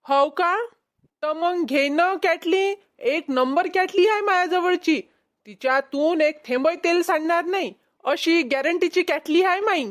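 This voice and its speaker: A woman's voice: very high-pitched, a little slow. A woman voicing a boastful, haggling young male street peddler in a cartoon comedy, with a Vidarbha Marathi accent. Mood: haggling, insistent. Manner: cocky, bargaining.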